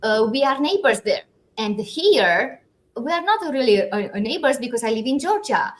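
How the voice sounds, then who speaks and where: A woman's voice: a little high, very animated, moderate pace. A woman from Europe hosting an interview, encouraging, speaking non-native English with many fillers. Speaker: host. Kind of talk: casual interview.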